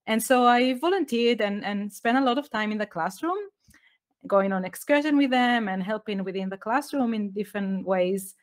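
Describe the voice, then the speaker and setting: Israeli-accented woman explaining earnestly. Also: mid-range voice. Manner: earnest, explanatory, with light laughs and slightly non-native phrasing. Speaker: guest, education author. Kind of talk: podcast interview.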